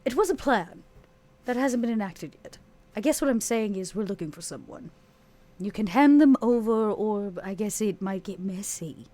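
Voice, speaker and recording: A woman's voice: mid-range voice, expressive, normal speed, dark tone, lightly acted. A woman voicing a bold, haughty female vampire in a tabletop game, wry and coolly threatening.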